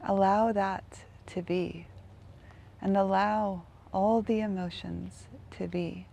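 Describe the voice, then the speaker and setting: A woman leading a guided meditation in a gentle, unhurried manner, leaving long pauses between phrases. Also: medium pitch, fairly even intonation, gravelly, a little hiss or hum.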